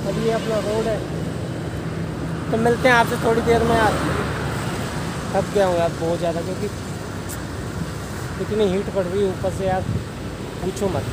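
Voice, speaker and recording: A man in his twenties with a high voice talks casually to his camera as a travel vlogger. He comes from Haryana in India. The recording is held at arm's length, with plenty of outdoor and vehicle noise around him. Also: little pitch movement, normal speed, pauses often. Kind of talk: casual vlog narration to camera. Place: outdoors on bridge and highway, later in a car. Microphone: phone or camera at arm's length.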